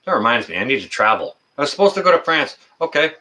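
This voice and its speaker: A man in his fifties hosting a casual livestream chat, talking in a rambling, folksy, halting way. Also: medium pitch, sing-song, pushed and bright. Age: 50s. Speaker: livestream host.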